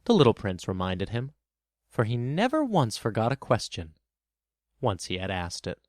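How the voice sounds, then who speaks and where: A man narrating a children's story as scripted, expressive storybook narration. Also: on the low side, moderate pace, no echo, studio quality.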